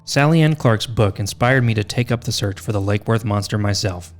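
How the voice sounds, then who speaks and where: A man's voice: on the low side, a little fast. A man hosting a podcast, reading a scripted narration with wry, tongue-in-cheek asides. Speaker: podcast host.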